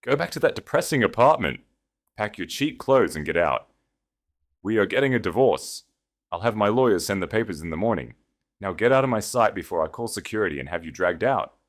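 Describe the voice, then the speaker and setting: A man's voice: on the low side, sing-song, brisk, voice pushed up. A man voicing an arrogant, vicious, status-obsessed husband in an audio drama, contemptuous and commanding. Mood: furious, dismissive.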